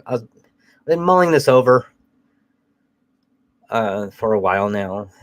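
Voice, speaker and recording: A man's voice: lowish voice, poor audio. A man hosting a casual live chat while fighting a cold, sounding nasal, rambling loosely and swearing now and then. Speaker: host.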